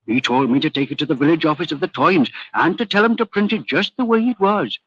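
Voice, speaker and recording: An old gardener for a vintage radio play. He is humble and eager to oblige, with folksy turns of phrase. The voice is husky and swings in pitch, on a dull old recording. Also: lively intonation, quick pace, a bit bright, dry recording, heavily acted. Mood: confiding. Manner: deferential, folksy, repeats himself. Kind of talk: 1940s radio drama acting.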